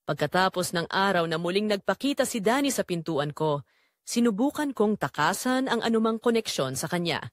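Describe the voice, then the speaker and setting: A woman's voice: mid-range voice, animated, fairly quick, no room sound, studio quality. A woman reading a scripted first-person romance story in a dramatic storytelling manner. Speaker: audiobook narrator.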